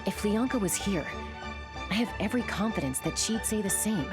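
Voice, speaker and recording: A woman's voice: mid-range voice, lively intonation, a little fast, close to an everyday voice. An actress voicing a bold, loyal young woman scout who talks with confident, defiant conviction. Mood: resolute. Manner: confident, defiant and warm-hearted. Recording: game soundtrack music behind.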